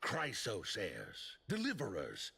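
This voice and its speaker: A man's voice: on the low side, wildly swooping pitch, takes it slowly, vocal fry, bright, forceful tone, slightly rough, heavily acted. An actor playing a bitter delegate in a video game who resents his ruler on behalf of ordinary folk. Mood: bitter, resentful. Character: bitter resentful male council delegate speaking for common folk.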